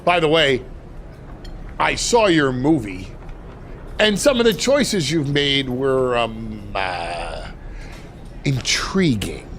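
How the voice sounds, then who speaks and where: An actor playing a sly, grandiose retired wrestling manager in a video game, with ominous, theatrical delivery and deliberate dramatic pauses. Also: male voice, mid-range voice, big pitch swings, a bit bright, slight background noise, full character voice. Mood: dryly sardonic. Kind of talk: video game cutscene dialogue.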